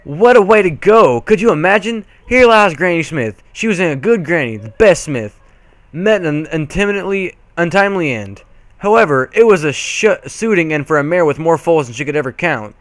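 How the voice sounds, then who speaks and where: A man voicing a mischievous, excitable, teasing male pony cousin in a cartoon story. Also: a little high, normal speed, dry recording, close to an everyday voice. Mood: mock solemn.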